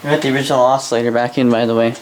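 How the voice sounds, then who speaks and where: A man's voice: mid-range voice, level tone, rapid-fire. A man giving casual hands-on commentary while tinkering, muttering to himself and trailing off. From Canada. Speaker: hobbyist radio repairer. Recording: home workshop noise, radio playing nearby.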